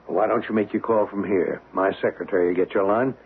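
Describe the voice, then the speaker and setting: A gravelly, cynical American embassy man in a vintage radio thriller. He is wry and clipped, used to giving orders, with a husky edge to his voice. It comes through thin and tinny, like an old broadcast. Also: fairly low pitch, fairly quick. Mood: brisk, commanding. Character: brisk, cynical American embassy official, male. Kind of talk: old-time radio drama acting.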